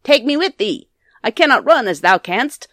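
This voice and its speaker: A woman's voice: deep voice, lively intonation, normal speed, fairly bright tone, dry recording, clean studio sound. A woman voicing an enchanted male frog from a storybook, speaking in a formal, archaic, insistent and declamatory way. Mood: urgent pleading. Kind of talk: storybook character voice.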